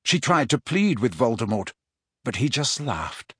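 A man reading a quietly determined teenage wizard boy. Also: medium pitch, unhurried, breathy edge, dry recording, heavily acted. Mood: quiet, grim. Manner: quiet, steady, pressing.

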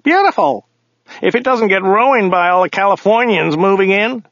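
A man voicing a dim, chatty male limousine driver with a high, whiny, nasal voice in an audiobook story. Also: expressive, fairly bright tone, no room sound, lo-fi sound. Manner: persistently chatty, slow on the uptake.

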